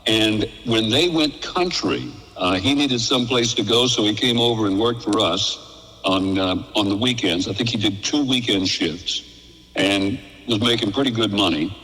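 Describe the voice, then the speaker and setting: Older man, radio veteran guest, bright forceful voice, casual reminiscing, long reverb. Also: fairly low pitch, normal speed.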